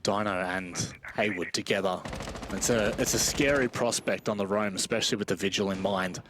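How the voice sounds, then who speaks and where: A man's voice: fairly low pitch, steady tone, moderate pace, a crowd in the background. A man giving live esports commentary with informal, self-deprecating banter. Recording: game gunfire noise behind voice. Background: video game gunfire.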